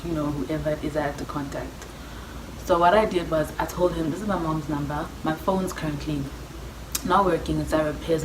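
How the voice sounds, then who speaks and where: Vlogger, young woman, South African accent, low register, chatty slangy storyteller who plays out dialogue. Echoey, noisy room recording. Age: young adult. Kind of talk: casual personal storytelling to viewers. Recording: echoey room with clear background noise.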